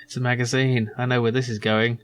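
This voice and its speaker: A man's voice: medium pitch, flat delivery, a little fast, clean tone. A man giving loose, rambling commentary as he plays a video game and reads its text aloud. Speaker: video game let's player.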